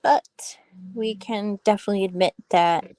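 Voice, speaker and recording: A woman's voice: medium pitch, normal speed, dark tone, no room sound. Giggly young woman on a phone. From Massachusetts. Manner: unsure, giggly, rambling. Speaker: host's daughter, co-host. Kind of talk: casual live chat.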